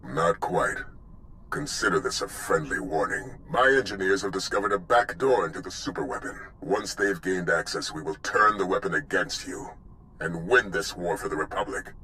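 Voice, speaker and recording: Fanatical older army commander, deep forceful voice, grand and stern game dialogue. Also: male voice, normal speed, slight background noise, poor audio. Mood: stern, defiant. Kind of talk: dramatic video game acting.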